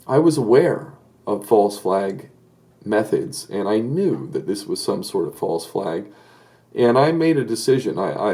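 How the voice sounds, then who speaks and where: Middle-aged man, mellow tone, earnest commentator who stumbles and restarts often. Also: on the low side. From Southern California. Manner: earnest, hesitant with many fillers and restarts. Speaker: political commentator. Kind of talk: solo video commentary.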